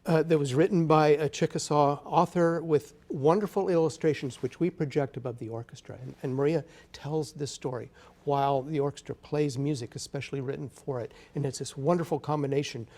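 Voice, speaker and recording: A man's voice: on the high side, fairly even intonation, a little fast, a little mellow. A man speaking as an interview guest, an orchestra conductor explaining things enthusiastically in a TV studio. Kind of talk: live TV interview talk.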